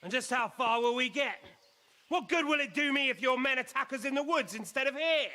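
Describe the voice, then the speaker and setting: High, sharp-voiced sly villain. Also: male voice, fairly quick, in a large hall. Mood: scornful. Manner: sardonic, taunting, projecting loudly. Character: defiant, sly male villain holding a castle. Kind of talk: cinematic video game acting.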